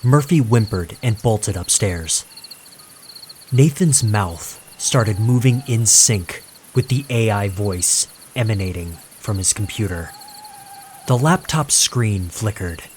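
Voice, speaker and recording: A man narrates a creepypasta in a fairly low register. His delivery is very slow and dramatic, leaving deliberate gaps to build suspense. Faint night ambience with insects sits behind the voice. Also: expressive, darkish tone, a little hiss or hum. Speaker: horror story narrator.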